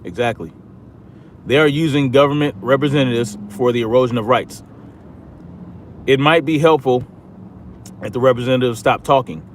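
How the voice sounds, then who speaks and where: A man's voice: mid-range voice, moderate pace, long pauses, slight background noise. An American man giving casual political commentary as a livestream host, emphatic and repeating phrases for stress.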